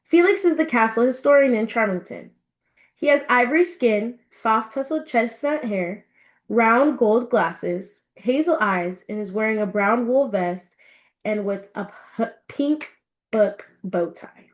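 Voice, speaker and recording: A woman reading her own fiction aloud as an expressive storybook narration. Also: a little high, unhurried.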